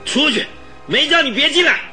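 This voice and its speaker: An actor playing an arrogant, irascible and sly medical specialist in a radio drama, haughty and raspy. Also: male voice, very high voice, animated, fairly quick, over music. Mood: furious, contemptuous. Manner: haughty barking, gloating chuckles. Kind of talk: Mandarin radio drama acting.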